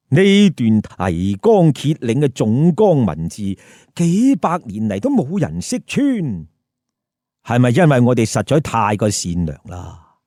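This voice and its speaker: A man's voice: medium pitch, dark tone, no echo, clean studio sound. A man narrating a humorous story with commentary in a theatrical, colloquial, rhetorical way. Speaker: storyteller and host.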